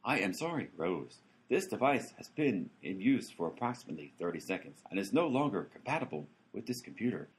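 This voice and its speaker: A glitchy talking phone assistant, voiced by a man, reads out stiff canned prompts and misunderstands everything. Its low voice is very husky and rough, and it swings up and down in a lively, singsong way. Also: brisk, hardly any pauses, exaggerated voice. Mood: robotic. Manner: flat scripted prompts, mishears every command. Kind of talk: comedy radio play acting.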